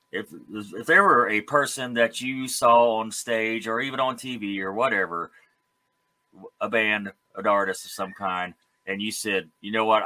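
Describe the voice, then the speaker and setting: Bright-voiced male music show host. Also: fairly low pitch, low-quality recording. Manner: chatty, quick to laugh. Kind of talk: casual, enthusiastic interview chat.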